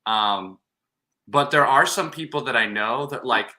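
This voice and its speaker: A man's voice: mid-range voice, a little slow, pushed and bright. A young man chatting casually with his grandmother, rambling and hesitant, filling his talk with "like" and restarts. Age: young adult. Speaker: grandson, guest. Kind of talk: casual chat.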